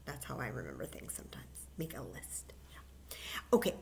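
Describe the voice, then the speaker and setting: Gentle librarian woman at children's story time. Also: rumbling low, big pitch swings, normal speed, gravelly, whispered. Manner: gentle, encouraging, chatty, singing simple tunes. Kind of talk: story time for kids with sung songs.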